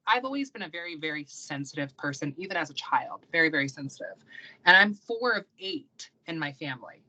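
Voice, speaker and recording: A woman's voice: low-pitched, sharp tone, lo-fi sound. A young American woman, a guest on an interview stream, chatting casually and rambling with many ums and likes. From United States. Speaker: guest, practicing witch and YouTuber.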